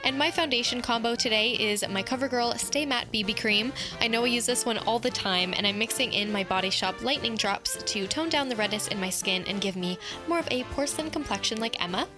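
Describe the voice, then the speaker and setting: A woman's voice: a little high, a little fast, few pauses. Upbeat young woman narrating a makeup tutorial. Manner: upbeat, chatty, explains each step. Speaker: beauty tutorial host. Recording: voice-over with clear background music.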